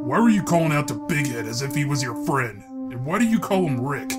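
Loud music surrounds a stern police detective, played by a man in a comic dub. He speaks at a middling pitch, pressing and talking down to people to intimidate them. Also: sing-song, a little fast, lightly acted. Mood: menacing. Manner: pressing, condescending.

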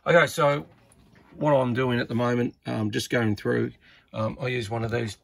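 Male hobbyist presenter, husky with some gravel, unpolished and hesitant, talking through hands-on repair work. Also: mid-range voice, steady tone, normal speed, no room sound. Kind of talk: casual hands-on repair commentary. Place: indoors at an electronics workbench.

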